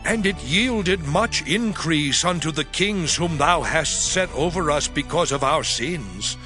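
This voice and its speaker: A man playing a reverent, pleading supplicant in a dramatized scripture reading, speaking in a formal, measured way. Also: a little high, animated. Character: reverent, pleading man praying for his people. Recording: studio reading under prominent music.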